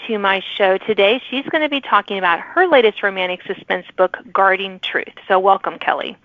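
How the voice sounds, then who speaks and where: A woman's voice: medium pitch, a little fast, no echo, phone-quality sound. A woman hosts an interview podcast in a mid-range voice. She asks friendly questions and responds with encouragement. The sound is dry, with very little room. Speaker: podcast host.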